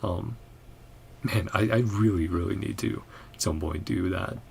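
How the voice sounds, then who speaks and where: A man hosting a gaming reaction channel, chatting casually in a hesitant, meandering way with frequent soft chuckles. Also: sits low, measured pace, vocal fry, slight background noise. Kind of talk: casual chat, game reaction commentary.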